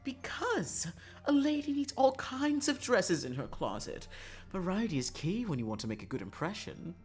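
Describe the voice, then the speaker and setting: A man's voice: high-pitched, sing-song, moderate pace, soft background music, voice pushed up. A man putting on a coy, flirtatious dandy. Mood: playful, airy.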